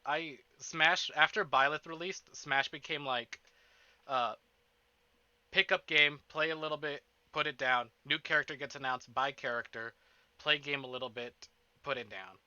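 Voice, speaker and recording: A man chatting casually to livestream viewers in an animated, self-interrupting, playfully profane way, as host of a game stream. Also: fairly high pitch, smooth, no echo, lo-fi sound. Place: indoors at a desk.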